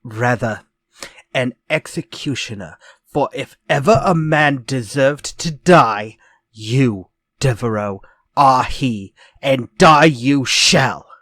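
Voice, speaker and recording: An actor playing a sinister, vengeful ex-convict murderer in retro radio drama style, speaking in a slow, theatrical, menacing way. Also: male voice, mid-range voice, a bit bright, no room sound. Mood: grim, theatrical.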